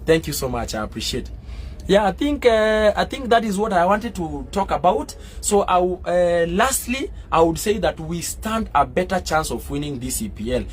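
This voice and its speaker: A man from Kenya talks casually on a fan live stream, his voice pitched a little high for a man. He answers viewers with many fillers and climbs into loud, excitable bursts. A faint hum of ambience sits behind him. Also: moderate pace.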